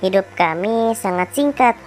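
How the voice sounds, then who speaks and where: A male teacher voicing a gentle, wise female rose flower in a falsetto while reading a children's fable. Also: animated, a little slow, soft background music. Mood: kindly explaining. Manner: falsetto, kindly and explaining.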